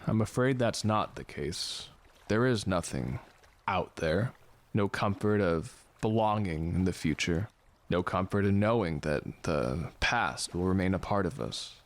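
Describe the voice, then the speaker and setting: Low-voiced, deadpan, philosophical man. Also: measured pace. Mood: somber, musing. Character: gloomy philosophical ship's man, fatalistic and slow to romance. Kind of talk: audio drama acting.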